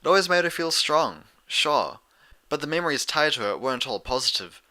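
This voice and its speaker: A man's voice: a little high, sing-song, brisk, pushed and bright. A man reading scripted story narration as an audiobook narrator of fan fiction.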